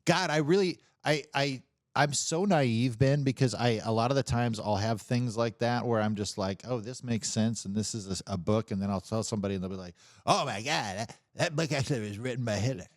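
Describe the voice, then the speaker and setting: A man chatting casually as a podcast co-host, explaining things in a rambling, halting way full of casual slang. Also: medium pitch, dry recording, studio quality. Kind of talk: casual chat.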